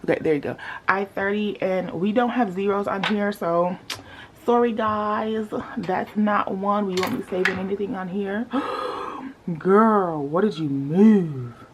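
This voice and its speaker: A woman's voice: medium pitch, takes it slowly, a little hiss or hum. Playful woman with a sleepy morning voice. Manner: playful, animated, talks to viewers as y'all. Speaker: budgeting vlogger. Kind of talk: casual chatty vlog.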